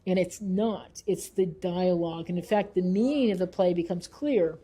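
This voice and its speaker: Woman researcher with a mellow, earnest delivery. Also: fairly low pitch, normal speed, slightly rough. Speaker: researcher and author being interviewed. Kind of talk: thoughtful interview talk.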